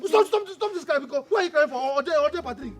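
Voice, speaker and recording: Fiery Nigerian brother, film drama: defiant, fast, swooping delivery, stuttered repeats. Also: male voice, very high-pitched, lively intonation, a bit bright, soft background music. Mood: agitated, furious. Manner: stammering, repeats words insistently. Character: hot-headed defiant younger brother, raging and grieving.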